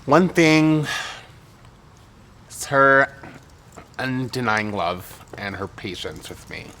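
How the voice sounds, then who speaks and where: A man in his thirties from Harlem, New York, talking haltingly about what he loves, with many fillers. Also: medium pitch, lively intonation, bright, forceful tone. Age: 30s. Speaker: church member sharing his story. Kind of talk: casual personal reflection. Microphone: studio interview mic.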